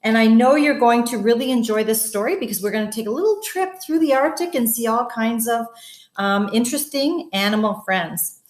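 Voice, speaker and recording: A woman's voice: fairly high pitch, a little fast, echoey room. A woman reading a bedtime story aloud to children, expressively, with wondering asides to her young listeners. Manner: addresses children, expressive, asks wondering questions. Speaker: guest bedtime story reader.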